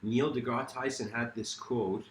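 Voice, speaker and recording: A man's voice: mid-range voice, fairly even intonation, measured pace, reverberant, slight background noise. A man from Iran whose first language is Farsi, giving a reflective philosophical talk in a rambling, self-correcting way with many fillers. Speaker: speaker.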